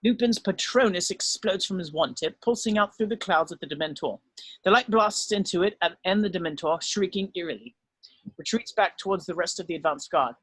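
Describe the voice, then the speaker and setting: Guy reading stage directions, pretty high voice, dry sound, storytelling for a script read. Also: fairly quick, a bit bright, husky, no echo, poor audio. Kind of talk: scripted narration at a drama table read.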